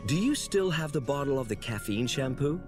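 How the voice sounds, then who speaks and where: A young-sounding actor voicing an eager, cheeky but polite apprentice angel in a video game. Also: male voice, mid-range voice, expressive, a little fast, over music, lightly acted. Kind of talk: video game character dialogue.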